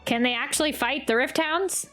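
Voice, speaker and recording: A woman's voice: high-pitched, normal speed, a bit bright. A woman streaming a game playthrough with casual reactions, coaxing in a motherly way and repeating phrases. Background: game music.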